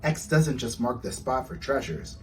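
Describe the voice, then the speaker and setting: A man reading his children's storybook aloud with expressive, storytelling delivery. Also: medium pitch, moderate pace, far from the mic, faint music behind. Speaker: author reading own book aloud.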